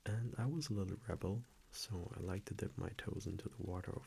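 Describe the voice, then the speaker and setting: A man playing a gentle, confiding vampire boyfriend in an intimate ASMR roleplay, speaking hushed and hesitant and often slipping into a whisper. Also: sits low, fairly quick, vocal fry, slight background noise. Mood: quietly confiding.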